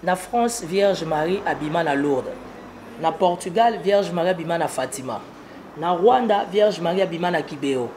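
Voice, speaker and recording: High-pitched, emphatic Congolese man. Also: expressive, normal speed, darkish tone, a little hiss or hum. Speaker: political analyst being interviewed. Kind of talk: political commentary in an interview.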